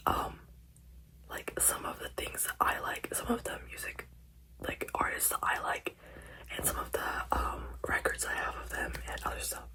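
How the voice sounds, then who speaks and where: A whispering ASMR host who sounds congested from crying, rambling in a soft, meandering way with many fillers. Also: low-quality recording.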